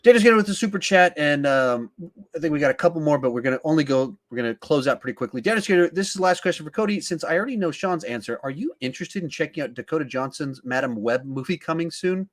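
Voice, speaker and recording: A man's voice: fairly high pitch, animated, fast. A man hosting a podcast, casually discussing films and reading out viewer questions in an emphatic, conversational way, thinking aloud as he goes. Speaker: podcast host.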